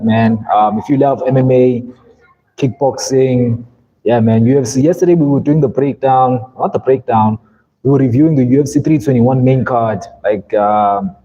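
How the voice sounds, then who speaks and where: A man's voice: medium pitch, dark tone, muffled recording. A young man from South Africa, whose first language is Zulu, chatting casually and rambling on a live stream, often saying "man". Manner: laid-back, rambling, says "man" often.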